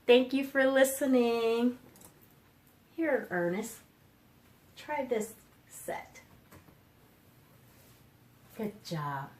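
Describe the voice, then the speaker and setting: A woman's voice: fairly high pitch, expressive, extremely slow, long pauses, darkish tone. A woman hosting a children's storytime, explaining books and putting simple questions to young listeners. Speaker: children's storytime host and book reviewer. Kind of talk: children's storytime book review.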